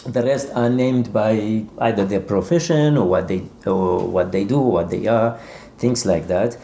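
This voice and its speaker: Guy author talking about his work, kinda creaky relaxed voice, thinks out loud and repeats himself, bit of background noise. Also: fairly low pitch. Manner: halting, searching, repeats words as he explains. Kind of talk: thoughtful literary interview talk.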